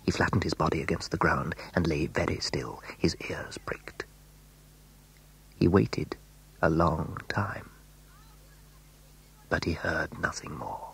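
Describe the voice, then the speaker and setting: A man narrating a children's story in a hushed, suspenseful, dramatic way. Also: a bass voice, slow, creaky, long pauses, slightly rough, low-quality recording.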